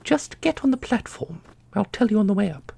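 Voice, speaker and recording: A man voicing a solemn, knowing keeper of an ancient magical order in a fantasy audio roleplay, speaking in a formal, measured way. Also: high-pitched, very animated, gravelly, a little mellow, no room sound, exaggerated voice. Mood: dry, brisk. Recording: music under the voice.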